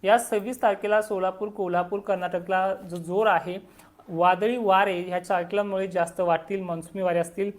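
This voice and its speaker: A woman's voice: mid-range voice, fairly even intonation, normal speed. A woman with a Maharashtrian Marathi accent gives an informal weather forecast for farmers, explaining as she lists districts. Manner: explanatory, listing districts one after another.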